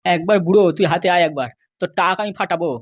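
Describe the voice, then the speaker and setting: A woman's voice: lowish voice, very fast, lightly acted. A woman voicing a middle-aged father and local politician in a cartoon, exasperated, grumbling and full of bluster. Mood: grumbling, threatening. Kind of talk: cartoon dialogue.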